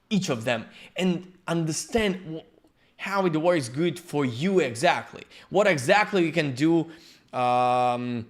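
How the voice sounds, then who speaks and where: A man with a Ukrainian accent giving a live-streamed software lesson as the instructor, halting and restarting as he searches for words. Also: fairly high pitch. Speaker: workshop instructor.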